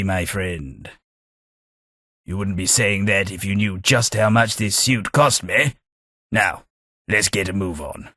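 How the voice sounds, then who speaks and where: A man voicing a dying ghoul gentleman in a game, speaking in a polite, formal, dry manner. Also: fairly low pitch, no room sound, heavily acted. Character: dying ghoul gentleman companion, courteous and wry. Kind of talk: game voice acting.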